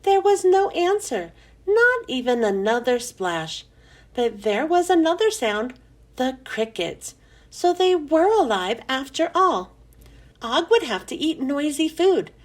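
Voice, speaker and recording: A woman narrates a children's story in a high voice. Her pitch rises and falls widely, and she leans on and draws out key words for young listeners. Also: darkish tone. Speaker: children's book reader. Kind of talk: expressive scripted storybook narration.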